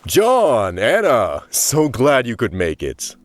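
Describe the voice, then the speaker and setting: A man's voice: mid-range voice, huge pitch swings, slow, no room sound. A man playing a wealthy, smug, urbane husband in an audiobook, with a suave, theatrical, droll delivery. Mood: welcoming. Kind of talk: audiobook character acting.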